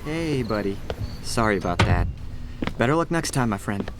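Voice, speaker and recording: A man's voice: fairly low pitch, big pitch swings, normal speed, heavy background noise. An actor playing a breezy young man who needles his friend with jokey mock sympathy in a radio drama. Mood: breezy consoling. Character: teasing, breezy young male buddy. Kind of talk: naturalistic radio drama acting.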